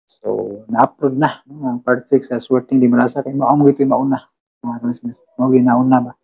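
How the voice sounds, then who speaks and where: A man with a mellow, relaxed tone and mid-range pitch hosts a drama channel. He talks informally to listeners, often tacking on short tag questions. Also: moderate pace, breathy edge, rough recording. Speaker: host of an online radio drama channel. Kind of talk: casual chat, reading and thanking listener comments.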